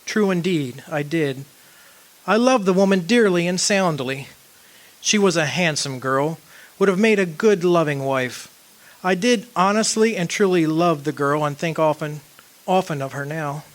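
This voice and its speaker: An actor playing a tender, earnest young suitor in a sentimental radio drama. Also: male voice, fairly high pitch, a little slow, natural voice. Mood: solemn, loving.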